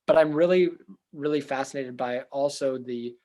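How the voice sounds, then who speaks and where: A young man with a loud, earnest voice answers interview questions. He is a therapist who thinks out loud, circling back with fillers and restarts, and his voice sits at an ordinary middle pitch. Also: moderate pace. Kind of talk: reflective casual interview talk.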